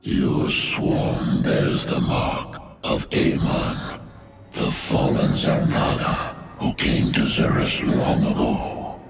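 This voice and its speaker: An actor playing a colossal ancient alien beast in a video game, solemn and ponderous, with a guttural, gravelly growl. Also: male voice, takes it slowly, close to an everyday voice. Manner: ponderous, portentous pronouncements. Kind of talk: video game cinematic acting. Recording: loud game music behind.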